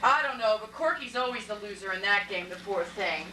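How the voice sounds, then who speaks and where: A woman's voice: medium pitch, lively intonation, brisk, over music, close to an everyday voice. A woman voicing a wry, weary suburban mother in an amateur puppet show. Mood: matter-of-fact. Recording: echoey, noisy room from far off.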